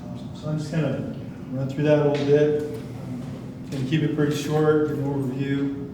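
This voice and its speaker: An older man in his sixties addressing a town hall crowd, folksy and rambling, with a flat delivery he calls monotone himself. His pitch is ordinary. He is heard from a distance in a very echoey hall, with clear noise behind him. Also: normal speed. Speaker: county department director. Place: community meeting hall.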